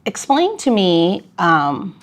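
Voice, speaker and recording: A woman co-hosting a casual podcast with a notably low voice. She talks in a chatty, rambling way, restarting her sentences and slipping in jokes. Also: big pitch swings, slow pace.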